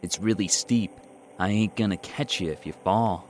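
A man's voice: lowish voice, normal speed, slight background noise, natural voice. A man voicing a cocky, grumbling young male hunter in an audio story, with taunting, whiny bravado. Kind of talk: audio short story character acting.

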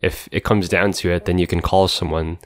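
Calm, low-voiced, measured young man. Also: no echo, studio quality. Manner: calm, measured, reasoning things through. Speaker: podcast co-host. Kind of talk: casual chat.

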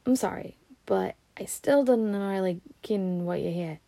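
A woman voicing a puzzled, polite young boy with a Scottish accent in a storybook reading, quiet and hesitant. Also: mid-range voice, animated, measured pace, no echo.

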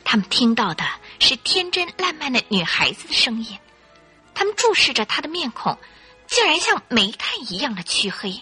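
A woman's voice: high-pitched, very animated, moderate pace, pushed and bright, breathy edge, faint music behind. A woman narrating a Mandarin radio drama as a dramatic storyteller.

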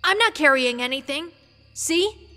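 A plucky young boy adventurer, as a woman narrator puts him on, with a lifted pitch. He talks bluntly and casually and is easily annoyed, in a storybook reading with music behind. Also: lively intonation, a little slow, bright, forceful tone, long reverb tail, full character voice. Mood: casual reassurance.

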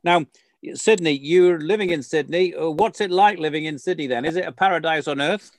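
A man's voice: a little high, brisk, dry recording. A man hosting a casual interview, chatty and leading his guest with questions. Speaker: interview host.

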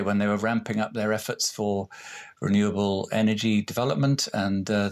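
A man, answering interview questions in a hesitant way, full of restarts and fillers. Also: lowish voice. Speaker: renewable energy executive.

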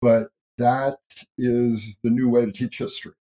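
A man's voice: mid-range voice, level tone, a little slow, warm tone. A man speaking as an interview guest, explaining big ideas in a thoughtful, earnest way with small restarts. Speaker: guest speaker on history and ancestry. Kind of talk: interview conversation.

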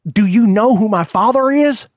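A man's voice: fairly high pitch, expressive, measured pace, no room sound, clean studio sound, voice pushed up. A man voicing a spoiled, defiant rich son in an audiobook, whiny, agitated and easily panicked. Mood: indignant. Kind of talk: audiobook character acting.

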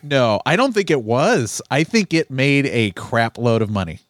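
A man co-hosting a casual movie podcast, giving quick agreeing replies between longer riffs. His pitch swings up and down a lot as he talks. The recording is dry and close. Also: dry recording. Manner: short agreeing replies, lively bouncing pitch. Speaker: podcast co-host.

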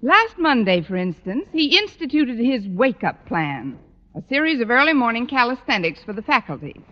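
An American actress playing a wry, put-upon high school English teacher in a 1940s radio sitcom, with a dry, sardonic delivery. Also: female voice, very animated, moderate pace. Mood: wry narration. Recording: dull old-time radio recording.

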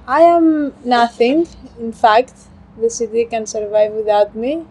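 A woman's voice: fairly high pitch, unhurried, warm tone, husky, rough recording. A woman in a street interview, reflective and searching for her words. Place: outdoor fair in a park.

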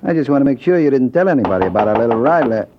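An actor playing a smug, oily gangster boss, mock-genial and slangy, in an old-time radio crime drama. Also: male voice, medium pitch, very animated, rapid-fire, lightly acted. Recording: noisy, dull old radio recording.

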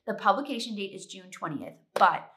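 A woman's voice: mid-range voice, expressive, brisk, fairly bright tone. Congested young woman hosting a podcast. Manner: chatty, upbeat, many fillers. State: congested from allergies. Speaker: podcast host. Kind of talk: solo podcast chat.

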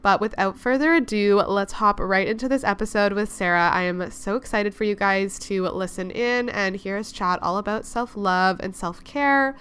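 A woman hosting a podcast in a chatty, upbeat way. Her voice sounds a little congested, yet it stays smooth and clear. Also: mid-range voice, normal speed, few pauses, a bit bright. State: congested, recovering from lost voice. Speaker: podcast host. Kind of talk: casual podcast chat.

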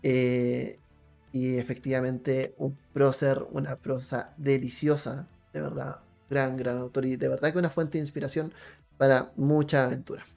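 A man's voice: mid-range voice, little pitch movement, a little slow, gravelly, darkish tone. A man chatting casually and enthusiastically as host of a live role-playing stream on a video call. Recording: music playing behind the voice.

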